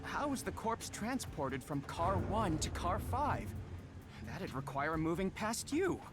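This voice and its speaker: A man's voice: sits high, very animated, takes it slowly, exaggerated voice. A man playing an eerie dead murder victim in a video game, theatrical, defensive and challenging. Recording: game music behind.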